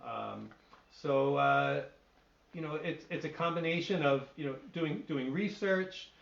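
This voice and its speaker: A middle-aged man with a fairly high voice talks on a video call and answers questions thoughtfully, restarting as he looks for the right words. The audio is dull and lo-fi. It echoes in the room. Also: unhurried, creaky, fairly bright tone. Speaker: literary translator and poet. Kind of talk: answering questions at an online reading.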